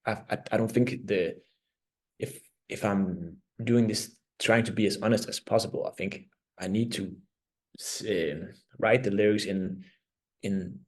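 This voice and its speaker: Danish-accented man speaking halting English. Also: lowish voice, a little mellow. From Denmark. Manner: halting, searching for words, many restarts. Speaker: band vocalist and lyricist. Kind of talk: reflective interview answers.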